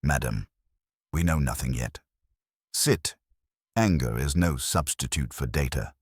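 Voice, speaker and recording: A man's voice: extremely low pitch, unhurried, breathy edge, no echo, studio quality, exaggerated voice. A man voicing a stern, imperious older detective who speaks formally and pronounces judgments in an audiobook mystery. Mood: commanding.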